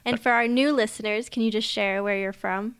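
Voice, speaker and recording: A young woman co-hosts a casual podcast. Her voice is smooth and clear, sitting a little high, and her delivery is friendly and chatty. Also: fairly even intonation, fairly quick, a bit bright. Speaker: podcast co-host.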